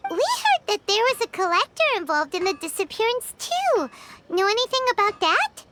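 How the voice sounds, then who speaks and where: A woman's voice: very high-pitched, very animated, normal speed, music in the background. A woman voicing a small, inquisitive, childlike fairy companion in a video game.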